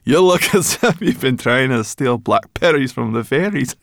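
A man's voice: medium pitch, expressive, moderate pace, no room sound, studio quality, pitched above the performer's own voice, close to an everyday voice. A man voicing a brash, bossy young female fairy creature who grumbles and exclaims, in a storybook reading. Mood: amused teasing.

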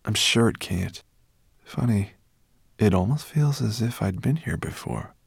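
Man voicing an eager, excitable young boy. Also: lowish voice, fast talker, vocal fry, no echo, clean studio sound, exaggerated voice. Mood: wondering. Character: excitable, curious young boy, friendly dragon rider. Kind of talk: audiobook character dialogue.

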